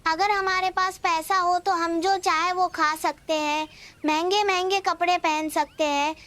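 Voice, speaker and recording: An actor voicing a naive, eager young sidekick in a cartoon, squeaky and chatty. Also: steady tone, unhurried, pushed and bright, exaggerated voice. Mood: admiring, chatty. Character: naive, eager young sidekick who flatters his master. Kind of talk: Hindi cartoon voice acting. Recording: music behind the voice.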